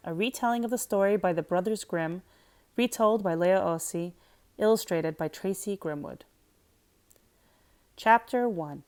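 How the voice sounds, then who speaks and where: A woman's voice: medium pitch, darkish tone. A woman teacher reading a children's storybook aloud as narrator.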